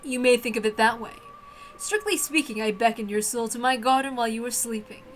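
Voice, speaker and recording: A woman's voice: a little high, fairly quick, lightly acted. A woman voicing a proud, gracious witch hostess in a visual novel reading, speaking in formal, stately phrases over music. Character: elegant, gracious witch hostess, grandly proud yet innocently friendly.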